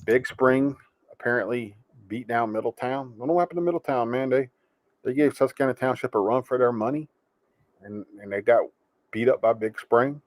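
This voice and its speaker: Man talking quickly about sports, dry sound. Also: medium pitch, no room sound. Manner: quick, punchy, casual remarks. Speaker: co-host. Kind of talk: live sports show chat.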